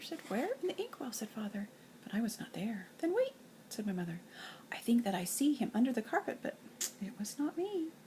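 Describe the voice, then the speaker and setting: An older woman, a grandmother, reading nursery rhymes and children's poems aloud in an expressive, playful sing-song way. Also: on the high side, slight background noise.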